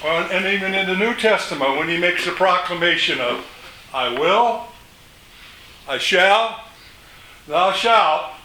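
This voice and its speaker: An older man delivers a sermon in a bright, forward voice, slow and deliberate. He lifts his pitch and volume when he quotes scripture. A faint hiss of noise sits under the recording. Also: poor audio. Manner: loud voice. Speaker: preacher.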